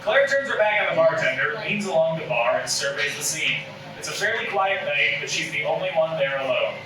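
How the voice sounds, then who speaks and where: A man's voice: on the high side, animated, a little fast, crowd noise. A man reading out stage directions as scripted narration at a live table read. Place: live reading in an echoey room. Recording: echoey room, distant mic, background noise.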